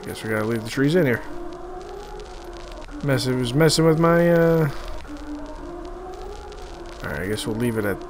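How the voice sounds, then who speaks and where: A man giving casual, halting commentary as he thinks aloud over a screen recording, often trailing off. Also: medium pitch, lively intonation, background noise. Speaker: host of an art-making video series.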